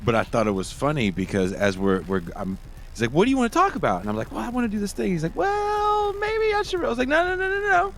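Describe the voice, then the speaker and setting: A man's voice: very high-pitched, big pitch swings, fast talker, gravelly, a bit bright, slight background noise. A man hosting a podcast, casual and laid-back, laughing often and swearing freely, from Oakland. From Oakland, California. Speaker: podcast host. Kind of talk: casual interview chat.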